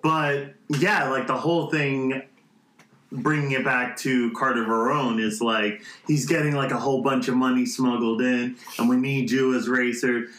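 A man's voice: medium pitch, fairly even intonation, normal speed, fairly bright tone. A man co-hosting a podcast, chatting in an easygoing way and walking through the plot. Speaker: podcast co-host. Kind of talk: casual chat. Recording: echoey room.

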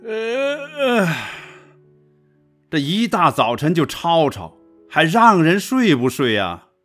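A man's voice: a little high, big pitch swings, slow, a bit bright, exaggerated voice. A man playing a good-natured, grumbling middle-aged professor father in a radio drama, colloquial and exclamatory. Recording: music under the voice.

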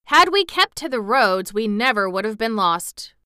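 A practical wandering man, as a woman reads him, stating things plainly and slowly. The voice is bright and clear, recorded close and dry. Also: a little high, sing-song, dry recording. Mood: matter-of-fact.

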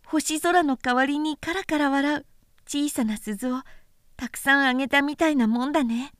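A woman voicing a small boy prince from a storybook, gentle, wistful and tender, with soft childlike phrasing. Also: high-pitched, normal speed, no room sound, studio quality. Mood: playful, tender. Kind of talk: storybook character voice in a literary recitation.

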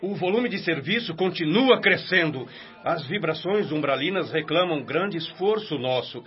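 A man's voice: on the high side, lively intonation, moderate pace, natural voice. An actor playing a worried, overburdened male spirit worker in a radio drama. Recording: muffled radio play recording.

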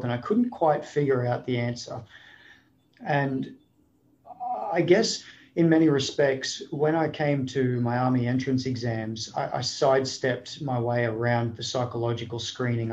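A man's voice: medium pitch, darkish tone, muffled recording, slight background noise. A middle-aged man with an Australian accent, telling his life story as a podcast guest in a thoughtful, searching way with many restarts. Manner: thoughtful, frequent restarts and 'you know'. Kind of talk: reflective storytelling in conversation.

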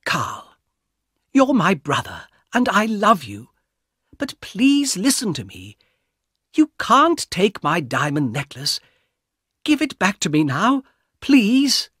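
A frightened young woman, as a male narrator puts her on, pleading with her brother in a high, strained voice that keeps rising. She sounds close to tears in a calm storybook reading. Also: slow pace, long pauses, slightly rough, no echo, heavily acted.

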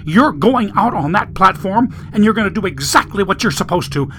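Audiobook villain: a cruel, bullying mother, performed by a man, pitched high, hissing, sharp-edged threats. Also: expressive, fairly quick. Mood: hissing fury. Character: vicious, domineering middle-class mother, hissing and screaming threats. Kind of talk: audiobook character voice.

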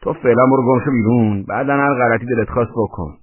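A man's voice: mid-range voice, slightly rough, lightly acted. A man voicing a brash, blustering, argumentative male intruder, on a tinny, band-limited recording. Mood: threatening.

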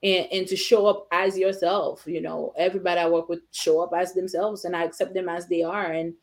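A woman's voice: lowish voice, flat delivery, fairly quick. A woman attorney and business owner talking as an interview guest, assured and emphatic, in short declarative phrases. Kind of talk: casual interview conversation.

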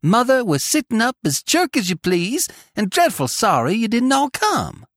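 A man voicing a brisk, chatty middle-aged country aunt who rattles on in rustic fashion, as a storybook character. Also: extremely high pitch, sing-song, fairly bright tone, no echo, clean studio sound, voice pushed up, full character voice. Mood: brisk, merry.

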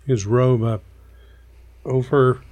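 A man playing a tabletop game who describes his character in a low, conspiratorial way. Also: fairly even intonation, takes it slowly, a little mellow. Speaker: player.

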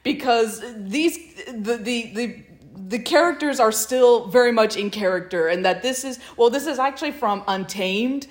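A woman's voice: on the high side, normal speed. A giggly woman chatting casually. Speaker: podcast co-host. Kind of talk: casual chat recapping and recommending fan fiction.

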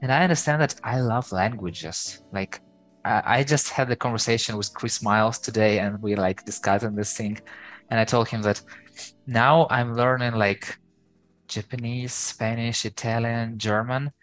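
A man with a Ukrainian accent, a guest on an interview podcast, talking in a lively, hesitant way full of fillers. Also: medium pitch, moderate pace, soft background music, rough recording. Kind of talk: casual interview chat.

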